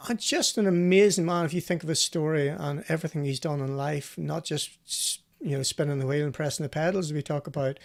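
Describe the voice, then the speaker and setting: A man with a fairly high voice and a gravelly creak hosts a casual live show. He chats freely, spinning anecdotes and trading banter with his guest. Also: fairly quick, hardly any pauses. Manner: chatty, storytelling, bantering. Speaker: host of a live rally show; former professional co-driver.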